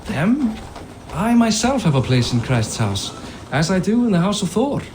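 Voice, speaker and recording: A man's voice: high-pitched, very animated, normal speed, noisy background. An actor playing a boisterous, proud middle-aged Viking king in a video game cinematic, hearty and boastful as he declaims.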